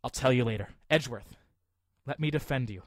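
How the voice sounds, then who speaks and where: An actor playing an earnest, easily flustered young defense lawyer with a wry streak in an anime-style audio drama. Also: male voice, medium pitch, a little fast, no room sound, studio quality, lightly acted.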